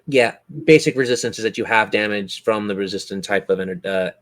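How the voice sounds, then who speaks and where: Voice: sits low, a little fast, a bit bright. A game master running a tabletop roleplaying game, narrating scenes in a theatrical, suspenseful way.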